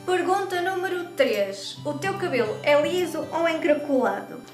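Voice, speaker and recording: A chatty young woman with a European Portuguese accent speaks casually to her camera in a high voice. She is recorded in an echoey room, with music running clearly underneath. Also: lively intonation, moderate pace. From Brazil. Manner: chatty and talkative. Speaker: YouTube vlogger.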